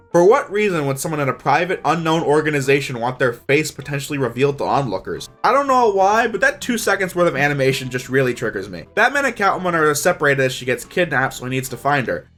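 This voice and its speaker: A man's voice: on the high side, fairly bright tone. A young man narrating a scripted but casual video review in an informal, opinionated way.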